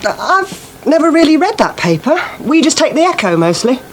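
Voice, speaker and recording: Cleaner, apologetic and hesitant, up and down voice, bit of hiss. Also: female voice, high-pitched, very animated, moderate pace. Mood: deferential. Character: deferential, plain-spoken village cleaning lady. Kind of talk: radio soap acting. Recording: slightly noisy 1980s radio broadcast.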